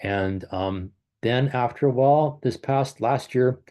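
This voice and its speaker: A man's voice: medium pitch, unhurried, warm tone. A man in his sixties, a running coach and race director, chatting casually to a virtual clinic over a computer call. He rambles, corrects himself as he goes and drops in upbeat little asides. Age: 60s. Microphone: computer video call.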